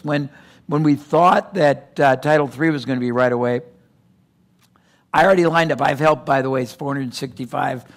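Gravelly older man giving a keynote. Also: mid-range voice. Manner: animated, gesturing, rapid digressions and restarts. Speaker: keynote speaker. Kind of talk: conference keynote in seated interview format. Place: conference stage before a live audience.

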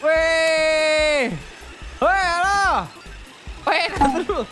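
A man's voice: very high voice, extremely slow, bright, forceful tone, faint music behind, rough recording. A man with a colloquial Jakarta accent doing casual, joking, teasing gameplay commentary for viewers in slangy speech. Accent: Jakarta-style colloquial Indonesian. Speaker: gaming video creator commentating for viewers.

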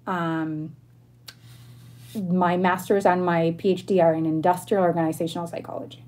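A woman's voice: fairly low pitch, flat delivery, moderate pace, mellow. A woman in her thirties from Argentina hosting a casual livestream, chatting informally and in a friendly way with her viewers. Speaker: livestream host. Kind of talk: casual livestream chat, answering viewer questions. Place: bedroom at home, indoors.

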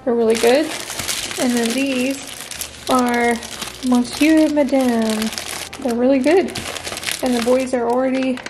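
A woman's voice: high-pitched, steady tone, takes it slowly, long pauses, background noise. A woman chatting casually in an upbeat way as she narrates a home vlog. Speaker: vlogger, host. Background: music.

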